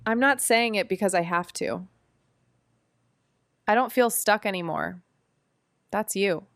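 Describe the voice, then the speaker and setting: A woman's voice: mid-range voice, unhurried, fairly bright tone, dry recording, studio quality. An actress playing a sarcastic, playful but secretly tender young woman in a naturalistic audio drama, with a flippant, casual delivery. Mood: gentle.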